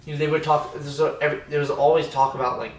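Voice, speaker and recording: A man's voice: on the high side, level tone, fast talker, a little hiss or hum. A man in his twenties from India, Australia and New York, chatting casually and slangily as he reflects, often repeating himself. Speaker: podcast guest. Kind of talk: casual chat, personal reflection. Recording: echoey room, distant from the mic.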